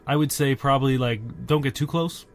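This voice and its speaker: A man's voice: medium pitch, normal speed. A man co-hosting a podcast, giving mock-serious advice with a dry, joking manner. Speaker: podcast co-host. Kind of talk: casual comedic chat. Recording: faint background noise.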